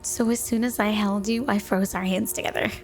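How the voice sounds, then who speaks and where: A woman's voice: medium pitch, fairly even intonation, a little fast, soft background music, close to an everyday voice. A woman playing a flirty, devoted, possessive snow woman wife in an intimate, sweet ASMR roleplay. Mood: wistful, adoring.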